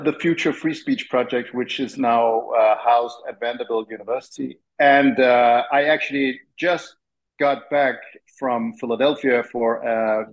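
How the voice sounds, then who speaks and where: A man's voice: medium pitch, poor audio. A man from Denmark, a guest on an interview show who talks in an earnest, articulate way with frequent fillers. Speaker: interview guest, free speech lawyer. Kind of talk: interview conversation.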